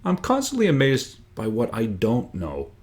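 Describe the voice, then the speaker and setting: A man's voice: medium pitch, huge pitch swings, normal speed, pitched above the performer's own voice. A man voicing a carefree, cocky schoolboy joker in a book reading, with a breezy, teasing manner. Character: joking, carefree, cocky schoolboy class president.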